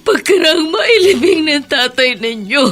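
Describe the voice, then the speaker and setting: A woman's voice: high-pitched, lively intonation, a little slow, a bit bright. A woman playing a middle-aged widowed mother in a radio drama, burdened, plaintive and emotional. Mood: grieving.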